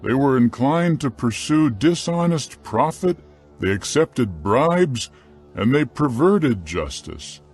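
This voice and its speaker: A man narrating scripture from a script in a solemn, measured, carefully phrased dramatic style. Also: mid-range voice, very animated, darkish tone, breathy edge, soft background music.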